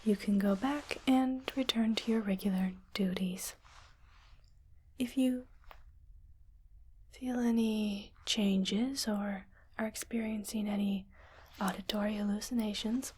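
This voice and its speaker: Woman playing a whispering, breathy spaceship doctor. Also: medium pitch, fairly even intonation. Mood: calm, clinical. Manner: slow, gentle, soothing. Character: calm, reassuring female spaceship doctor examining crew. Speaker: ASMR roleplayer. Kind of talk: soft ASMR medical roleplay.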